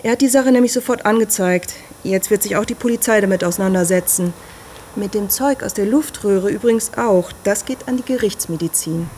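An actress in a radio drama playing a brisk, frank hospital doctor who talks in a direct, matter-of-fact way. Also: female voice, mid-range voice, noisy background, natural voice. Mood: pressing.

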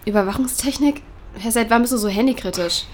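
A woman's voice: on the high side, fast, slight background noise, natural voice. A young woman playing a frank, slangy vlogger in an audio drama, casual and blunt. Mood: subdued. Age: young adult.

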